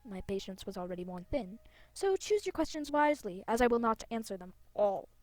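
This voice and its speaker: A woman's voice: on the high side, big pitch swings, a little fast, no echo. A woman voicing a proud, impatient ancient female tree spirit in a game, speaking in a grand, formal manner. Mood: grudging.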